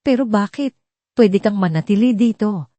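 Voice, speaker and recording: A woman's voice: medium pitch, moderate pace, warm tone, no echo, voice pushed up, lightly acted. A woman voicing a grateful, sincere billionaire father, earnest and heartfelt, in an audio story. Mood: surprised, sincere.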